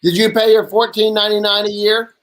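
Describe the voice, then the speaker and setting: High-voiced man hosting a chat. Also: little pitch movement, brisk, fairly bright tone, low-quality recording. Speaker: chat show host. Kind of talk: casual chat. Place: video call.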